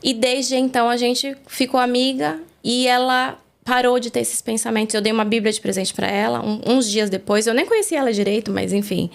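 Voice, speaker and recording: Brazilian woman, interview guest; earnest testimony, slightly high, gravelly fry. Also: brisk, few pauses. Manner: earnest, reflective storytelling. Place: studio.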